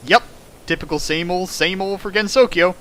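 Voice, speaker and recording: Young male riffer, bright and slightly high voice, sarcastic comic reader. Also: moderate pace. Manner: sarcastic, jokey, laughs while reading. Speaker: reader and riffer of a fan fiction. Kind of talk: comedic dramatic reading with riffing.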